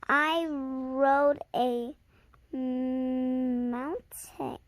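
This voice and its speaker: Slow, halting high-voiced little girl reading aloud. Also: flat delivery, no room sound. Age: child around seven or eight. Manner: halting, sounding out and repeating words. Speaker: host reading a story aloud to viewers. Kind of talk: halting read-aloud with casual asides to viewers.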